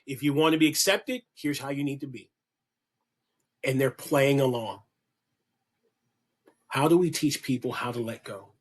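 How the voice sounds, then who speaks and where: A man's voice: mid-range voice, a little slow, pauses often, slightly rough. A man speaking as a podcast guest, giving an impassioned, preachy monologue that repeats key lines for emphasis. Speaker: guest on a podcast, kink educator.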